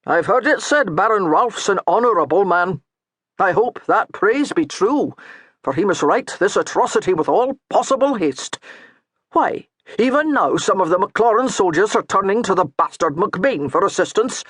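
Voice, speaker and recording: A man's voice: high-pitched, animated, normal speed, fairly bright tone, breathy edge, no room sound, clean studio sound, pitched above the performer's own voice, exaggerated voice. A man voicing a dour, mocking Scottish priest with a thick Scottish brogue. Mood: stern. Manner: baiting, stern, mocking.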